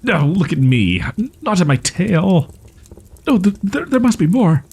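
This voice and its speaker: A man playing a proud, wounded young wolf-boy in an audio roleplay, stammering in archaic, formal phrasing with a husky, breathy voice. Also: high voice, very animated, a little slow, darkish tone, exaggerated voice. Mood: eager, flustered. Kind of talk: roleplay audio drama, ASMR.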